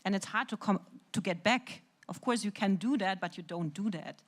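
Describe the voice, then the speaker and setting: A woman's voice: mid-range voice, normal speed. A native German-speaking woman with a German accent, talking spontaneously as an academic on a discussion panel.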